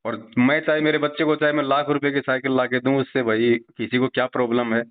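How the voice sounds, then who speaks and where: A man's voice: mid-range voice, little pitch movement, quick pace. A middle-aged man, explaining things respectfully and reassuringly in conversation. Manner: respectful, repeatedly addresses the listener. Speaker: religious devotee, video preacher. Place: phone call. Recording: tinny, narrow phone line.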